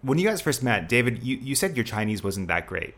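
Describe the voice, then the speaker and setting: A man hosting a podcast, asking interview questions in a lively way. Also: mid-range voice, a little fast. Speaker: podcast host.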